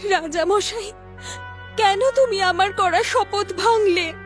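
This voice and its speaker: A woman's voice: very high-pitched, slow pace. A woman voicing a sorrowful, dutiful fairy-tale queen in a cartoon, plaintive and theatrical. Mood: hurt, tearful. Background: music.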